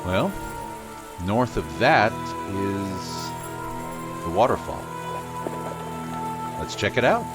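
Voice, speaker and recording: Deep-voiced, playful adventurer. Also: male voice, very animated, extremely slow, pauses often, over music, heavily acted. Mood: casual. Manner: casual, playful. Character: teasing, easygoing adventurer, the hero's old friend. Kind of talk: fantasy audio drama acting.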